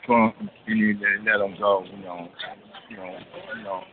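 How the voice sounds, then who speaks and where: A man protesting outdoors who talks haltingly, stumbling and repeating "you know". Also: lowish voice, little pitch movement. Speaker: protester being interviewed. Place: outdoors on a city street. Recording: tinny phone line with street noise.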